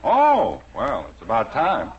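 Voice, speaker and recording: Grumpy sarcastic father, animated delivery. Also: medium pitch, takes it slowly, breathy edge, heavily acted. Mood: grumbling sarcasm. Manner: dry, exasperated wisecracking. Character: grumpy sarcastic middle-aged sitcom father. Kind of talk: 1950s radio sitcom acting. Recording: dull vintage radio recording, faint noise.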